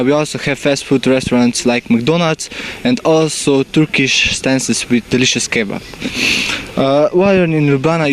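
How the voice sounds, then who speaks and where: A man's voice: fairly high pitch, a little hiss or hum. Hesitant young man reading a city guide. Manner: hesitant, many "uh" fillers.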